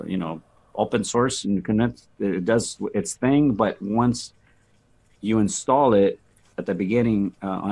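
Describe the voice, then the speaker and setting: A man in a casual technical discussion on a video call, explaining things a bit haltingly. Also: lowish voice, darkish tone. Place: online video meeting.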